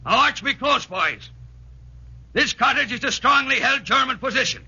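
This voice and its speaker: An actor playing a tough, sarcastic Irish commando sergeant major in a 1940s radio drama, with a rough, commanding voice. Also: male voice, very high voice, expressive, normal speed, bright, forceful tone, dry recording, full character voice. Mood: shouted instruction. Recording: dull vintage 1940s radio recording.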